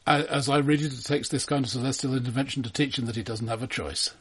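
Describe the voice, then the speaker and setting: A man with a husky, slightly rough voice at a middling pitch talks films as a podcast co-host. He is dry and ready to argue his point in casual back-and-forth. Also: steady tone, quick pace, a bit bright. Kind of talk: casual film discussion.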